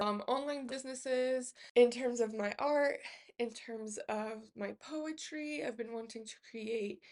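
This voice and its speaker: A woman who speaks English as a second language, chatting casually to camera as a book vlogger. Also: mid-range voice. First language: not English. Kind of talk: casual chat to camera about books.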